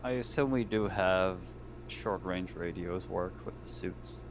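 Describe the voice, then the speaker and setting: A man's voice: sits low, a little slow, noisy background. A man chatting casually as a player in a tabletop role-playing game. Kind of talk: casual in-character game chat. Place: indoors, online voice call.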